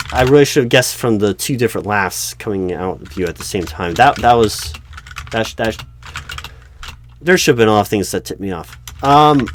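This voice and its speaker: A man playing a flustered, earnest recruiting manager in a one-sided job interview roleplay, speaking haltingly and often correcting himself. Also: fairly low pitch, slight background noise. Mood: disappointed, embarrassed. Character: flustered, unobservant male corporate recruiting manager, earnest, exasperated. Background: computer keyboard typing.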